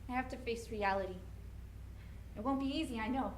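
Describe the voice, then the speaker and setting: Actress as disillusioned young mother holding firm, high voice, dramatic stage reading in an echoey room. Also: a little fast, natural voice. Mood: wistful, resolute. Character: shaken but resolute young mother, disillusioned by her lover. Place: indoor hall at a college event. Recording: echoey room, faint background noise.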